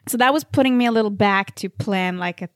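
A woman from Norway with a Norwegian accent, chatting casually and softly, often trailing off between phrases. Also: mid-range voice, fast, no room sound, studio quality. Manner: soft-spoken, hesitant, trailing off between phrases. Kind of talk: casual chat.

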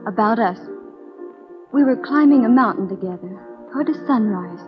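A woman's voice: on the high side, animated, moderate pace, over music, natural voice. An actress in a 1940s radio drama playing a poised, spirited young lady of the Old West, genteel, proud and guarded. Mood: wistful. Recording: dull 1940s radio broadcast.

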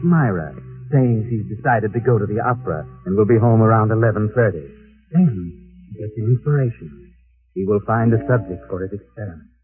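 An actor voicing a mysterious, ominous narrator figure in a 1940s radio drama, over music on an old, tinny broadcast. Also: male voice, medium pitch, lightly acted. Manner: slow, ominous. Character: mysterious adult male night-walking narrator, ominous.

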